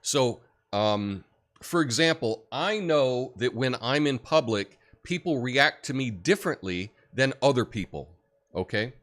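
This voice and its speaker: A deep-voiced man hosting a livestream, chatting casually and reading viewer comments with lots of fillers. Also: measured pace. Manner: rambling, relaxed, many fillers and restarts. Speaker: livestream host.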